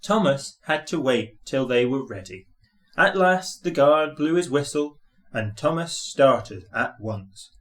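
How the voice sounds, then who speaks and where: A man's voice: mid-range voice, expressive, slow, fairly bright tone. A man reading a scripted children's story as narrator.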